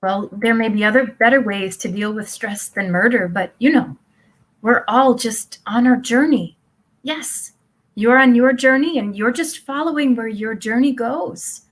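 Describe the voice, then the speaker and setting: A woman's voice: a little high, unhurried, low-quality recording. A woman playing a blithely supportive middle-aged mother in a live play reading, placid and reassuring.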